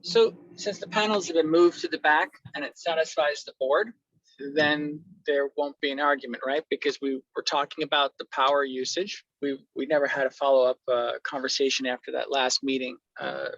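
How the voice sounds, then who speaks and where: A man from the building department joining a meeting by video call, speaking in measured, questioning turns. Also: mid-range voice, fairly even intonation, creaky, fairly bright tone, no echo. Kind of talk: remote meeting participation. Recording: dull, dry call audio.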